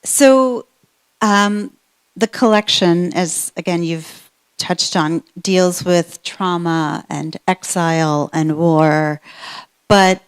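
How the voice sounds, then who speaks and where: A woman moderating a book event, asking slow, careful questions before an audience in a room. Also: fairly low pitch, clean tone. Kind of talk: moderating a conversation.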